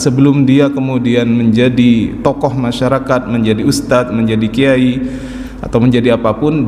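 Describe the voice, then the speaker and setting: A man in his forties delivers a patient religious lesson, his pitch sitting in an ordinary middle range. The sound rings with long reverb through a big hall, and a faint room hum stays underneath. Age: 40s. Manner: calm explaining, frequent affirming "ya". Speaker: religious teacher. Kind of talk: mosque study-circle lecture. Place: large mosque hall. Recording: echoey mosque hall with faint ambience.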